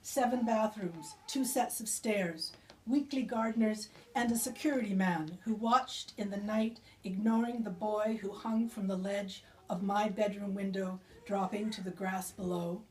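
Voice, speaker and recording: A woman's voice: medium pitch, steady tone, unhurried, a little mellow. A woman poet speaking and reading her own work live to a small gathering of listeners. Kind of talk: live poetry reading with informal remarks to audience. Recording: distant mic with background noise.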